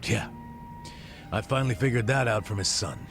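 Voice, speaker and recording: An American man acting a gruff, regretful, middle-aged ex-cop in a video game cutscene, blunt and streetwise with a gravelly voice. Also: fairly low pitch, normal speed, over music. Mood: grave, confiding.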